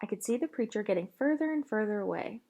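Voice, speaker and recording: Woman reading a story with lively pitch. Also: fast, dull audio. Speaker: reader of a children's novel. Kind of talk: read-aloud storytelling with voiced dialogue.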